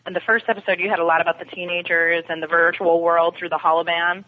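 A woman's voice: on the low side, little pitch movement, fast talker. A woman as a podcast guest, chatting casually about television over a phone connection. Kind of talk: casual chat about TV science fiction. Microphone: phone or call connection. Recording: tinny phone line with clear noise.

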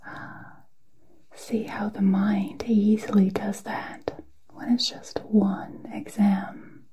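A woman whispering a guided meditation in a soft, breathy, soothing way, with long pauses, as an ASMR host. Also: mid-range voice, level tone. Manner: slow, soothing, halting delivery with long pauses.

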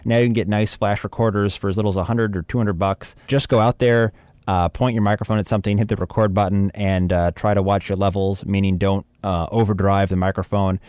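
Low-voiced man, casual and dry-sounding recording. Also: level tone, a little fast, a little mellow, smooth, dry recording. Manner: casual, chatty, wry humour. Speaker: audio drama producer, podcast host. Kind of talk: informal instructional talk.

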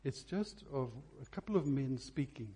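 A man preaching earnestly to a congregation, pausing between phrases for emphasis. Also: medium pitch, expressive, dark tone, a little hiss or hum. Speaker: preacher introducing a recording. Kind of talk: sermon to a congregation.

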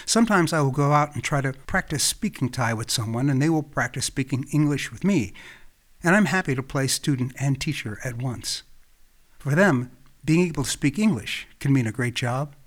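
An American man from Los Angeles, hosting his own podcast with calm, reflective scripted narration. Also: medium pitch, normal speed. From Los Angeles, California. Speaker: podcast host.

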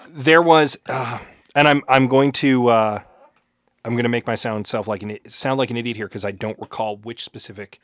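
A man co-hosting a podcast, telling things casually in a halting, self-aware way. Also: medium pitch, fairly even intonation, a bit bright, no room sound, studio quality. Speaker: podcast co-host.

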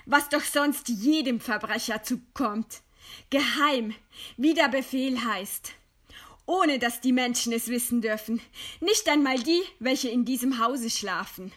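A woman's voice: a little high, a little slow. An actress playing a proud, scornful woman intellectual defying her executioner in eloquent, theatrical radio play declamation. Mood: scornful, indignant.

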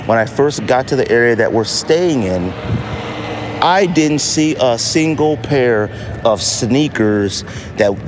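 Casting: American male podcast host, very unhurried delivery, casual and emphatic, recorded over loud urban street noise. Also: fairly low pitch. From United States. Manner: emphatic repetition of phrases. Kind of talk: casual solo podcast monologue. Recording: noisy outdoor city street ambience. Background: street noise, traffic, banging.

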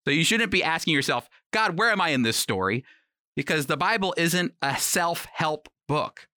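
Bright, punchy male Bible teacher. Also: a little high, lively intonation, normal speed, dry recording, studio quality. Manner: emphatic, direct address with rhetorical questions. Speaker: Bible teacher and program host. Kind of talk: conversational teaching sermon, prepared radio talk.

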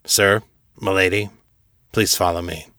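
A man's voice: low voice, steady tone, a little slow, pushed and bright, no echo, clean studio sound, heavily acted. A man voicing a very tall, dutiful fantasy captain in an audiobook, reporting formally and deferentially.

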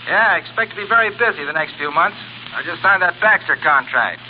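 An actor playing a brash, cocky, quick-witted shipping rival in breezy 1930s radio style. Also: male voice, high-pitched, animated. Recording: tinny, crackly 1930s radio broadcast.

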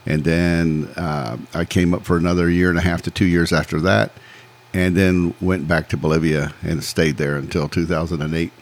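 A man in his sixties, from Peru, recounting his life in a measured, plainspoken way as a podcast guest. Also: deep voice, level tone. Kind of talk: casual interview storytelling.

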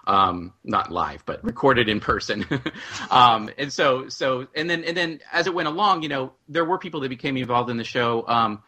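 A man with a bright, forward voice at an ordinary male pitch, chatting as a producer on a panel. He explains things like a storyteller, animated and repeating his openings as he goes. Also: moderate pace. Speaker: audio drama writer-producer, panel guest. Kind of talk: casual panel discussion.

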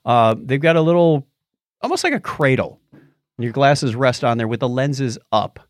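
A middle-aged man talks casually as a podcast co-host. His mid-pitched voice rises and falls in an animated way. The sound is close and dry, with no room on it. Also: moderate pace, no room sound. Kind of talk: casual chat about gadgets.

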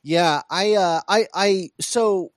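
A man co-hosting a podcast in a casual film discussion, often restarting his phrases in a halting way. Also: high-pitched, no echo, clean studio sound. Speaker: podcast co-host.

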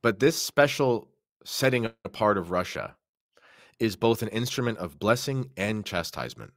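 A man from Canada with a low voice talks as a guest on a religious podcast. He explains his points earnestly and conversationally, recorded dry with hardly any room sound. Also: very animated, normal speed, dry recording. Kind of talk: casual religious discussion.

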